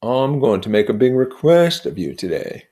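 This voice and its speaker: A man voicing a boastful young gentleman war veteran in an emphatic, affectedly genteel manner, as dialogue in an audiobook. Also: mid-range voice, very animated, normal speed, pitched above the performer's own voice, natural voice. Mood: earnest, insistent. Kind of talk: acted dialogue in an audiobook reading.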